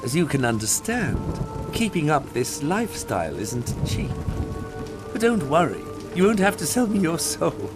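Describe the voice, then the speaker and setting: An actor playing a sly, flirtatious witch merchant in a video game, coy, purring and flattering. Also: medium pitch, moderate pace, over loud music, natural voice. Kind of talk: theatrical video game acting.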